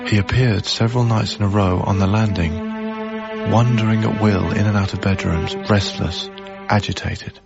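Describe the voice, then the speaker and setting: A man acting in a radio drama as an earnest, haunted young lodger, hushed and deliberate in his delivery. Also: on the low side, steady tone, vocal fry, highs cut off, over loud music. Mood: haunted, reflective. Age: young adult.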